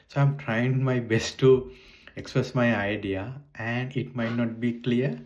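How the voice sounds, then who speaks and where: A man in his forties from Sri Lanka, a native Sinhala speaker talking in a Sri Lankan accent, speaking hesitantly in halting non-native English with many restarts in a casual monologue. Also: lowish voice, darkish tone. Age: 40s. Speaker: vlogger.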